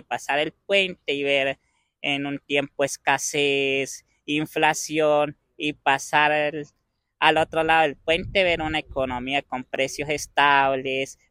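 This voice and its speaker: A young man from Venezuela with an Andean Venezuelan accent, answering interview questions in a measured way and pausing to find his words. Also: on the high side, sharp tone, smooth, no echo. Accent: Venezuelan Andean Spanish.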